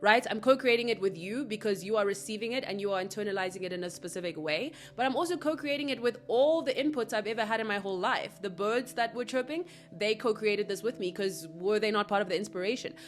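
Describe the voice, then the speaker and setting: A woman from Africa, hosting a solo spiritual podcast, earnest and reflective with soft asides. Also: mid-range voice, quick pace, hardly any pauses. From South Africa. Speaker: podcast host.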